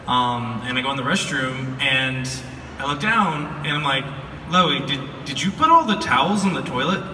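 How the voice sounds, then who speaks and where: A man's voice: medium pitch, very animated, normal speed, bright, forceful tone. A young man casually recounts a story to a live audience in an informal, playful way, recorded in a large room. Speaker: guest partner and video editor joining the story. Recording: echoey hall, distant mic, some noise.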